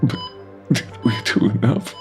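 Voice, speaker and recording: A man's voice: a little slow, faint music behind, natural voice. A man playing an earnest, wounded and grieving journalist in an audio drama. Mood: dejected.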